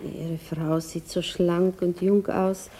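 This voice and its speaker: A woman's voice: on the low side, flat delivery, takes it slowly, warm tone, a little hiss or hum. An actress playing a shy, modest small-town woman in a literary radio play, polite and hesitant. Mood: reserved.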